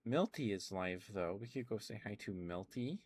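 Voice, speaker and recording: Male streamer, lowish voice, soft rambling ASMR delivery, close mic. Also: animated, normal speed, slight background noise. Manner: soft rambling, talks to chat.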